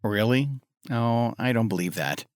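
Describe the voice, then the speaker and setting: A man's voice: mid-range voice, unhurried, smooth, dry recording, clean studio sound, lightly acted. An actor playing a nervous, grumbling man in his late thirties, a skittish and sardonic sidekick in an audio drama. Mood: sardonic, reluctant. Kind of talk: audio drama dialogue acting.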